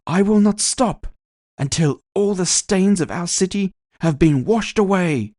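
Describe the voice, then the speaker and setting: A man playing a centuries-old female elf politician, giving an impassioned, grandiose rally speech in an audio drama with drawn-out, emphatic phrasing. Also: high-pitched, lively intonation, a little mellow, no room sound, clean studio sound, pitched above the performer's own voice. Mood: rousing, defiant.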